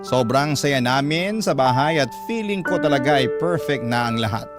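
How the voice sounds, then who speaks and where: A man's voice: medium pitch, animated, moderate pace, close to an everyday voice. A man with a Filipino accent playing a contented letter-sender, confiding a family story as radio drama narration. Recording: loud piano music under the voice.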